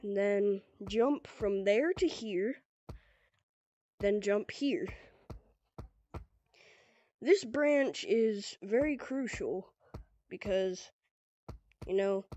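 A woman's voice: medium pitch, a little mellow, no room sound, highs cut off. A young woman with a stuffy nose from a cold, loosely explaining a VR game in a casual tutorial. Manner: loose and rambling, heavy on "pretty much" and "like". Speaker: VR gaming tutorial creator.

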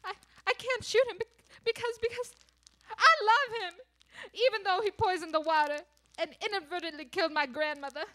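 A high-voiced, forceful heroine acted by a woman in a comic radio melodrama. She is emotional and torn, speaking with lovestruck intensity. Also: fairly even intonation, normal speed. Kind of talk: student radio comedy melodrama.

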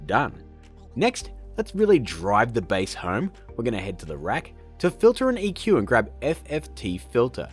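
A man hosts a casual software tutorial in an upbeat, playful way, tossing in joking asides. His pitch leaps about with great animation, and soft music sits faintly underneath. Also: huge pitch swings, normal speed. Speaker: tutorial host.

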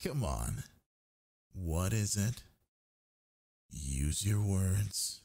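Needy, teasing boyfriend for intimate audio roleplay, male actor: slow, mellow, breathy, murmured coaxing. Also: low-pitched, very animated, full character voice. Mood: teasing, tender. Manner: coaxing, murmuring, drawn-out words. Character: sleepy, needy, teasing gamer boyfriend, young man.

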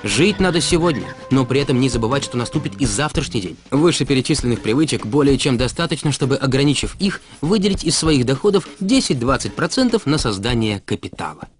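A male narrator delivers a scripted explainer with lively, varied intonation at a medium pitch. His delivery is measured and instructive, with deliberate pauses, and faint music plays underneath. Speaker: narrator of an educational cartoon about personal finance. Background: background music.

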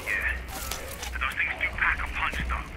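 Watchful inmate on a noisy radio. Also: male voice, normal speed. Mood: guarded, guiding. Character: watchful male inmate guiding a partner by radio. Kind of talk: video game cutscene acting.

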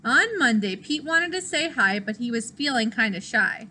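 High, bright young female teacher reading aloud. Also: a little hiss or hum. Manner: expressive, deliberate, child-directed. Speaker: teacher reading a picture book. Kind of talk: children's read-aloud. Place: small classroom, indoors.

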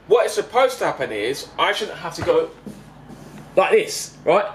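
High-pitched young man, halting delivery. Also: big pitch swings, low-quality recording. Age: 20s. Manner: halting, repetitive, with frequent check-in tags like "okay?" and "right?". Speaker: bus driver and vlogger. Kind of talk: casual explanatory vlog addressed to passengers. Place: inside a parked double-decker bus. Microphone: handheld camera at arm's length. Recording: background vehicle noise inside a bus.

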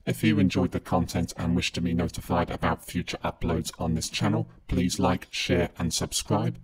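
A man whose voice is run through a pitch-shifted robotic filter, deep and raspy, speaking in a flat instructional way. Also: moderate pace, background noise.

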